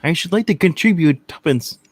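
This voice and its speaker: Radio play casting: meek male clerk acted by a man, animated, bright, deferential, eager, close dry sound. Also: fairly high pitch, a little fast, no room sound. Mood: eager, flustered. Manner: timid, deferential, jumpy. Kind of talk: theatrical radio play reading.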